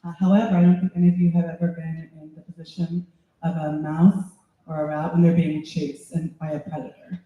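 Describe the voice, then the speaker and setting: High-voiced male interpreter, echoey and phone-like. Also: monotone, fairly quick. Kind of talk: consecutive interpreting of a speech. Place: indoor public meeting hall. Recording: echoey room, dull phone-like sound.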